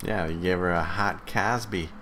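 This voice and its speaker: A man's voice: sits low, normal speed, fairly bright tone, slight background noise. A man co-hosting a live podcast in casual banter. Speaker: podcast co-host. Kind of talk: casual banter, reading out chat questions.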